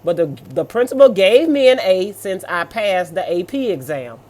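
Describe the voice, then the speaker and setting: A mid-pitched American voice reads stories aloud and comments on them off the cuff. The delivery is sassy and blunt, with colloquial asides in a scolding manner. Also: animated, normal speed, darkish tone. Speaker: host reading and reacting to online stories.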